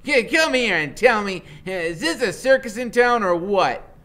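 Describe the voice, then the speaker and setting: A man's voice: high voice, expressive, normal speed, sharp tone, pitched above the performer's own voice. A man voicing a scrawny, taunting woman smoker who drawls and repeats herself in a storybook. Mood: sneering, calling out. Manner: drawling out of the side of mouth, repetitive.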